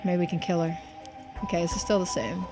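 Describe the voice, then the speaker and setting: A woman's voice: fairly low pitch, fairly even intonation, a little fast. A woman streaming a game, giving casual playthrough commentary. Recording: music behind the voice.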